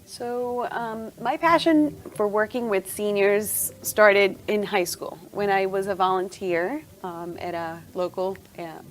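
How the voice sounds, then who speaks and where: A woman's voice: medium pitch, slow pace, hardly any pauses, a little hiss or hum. A middle-aged woman from Venezuela, answering interview questions in a chatty way with frequent fillers and restarts. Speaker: guest, senior move manager.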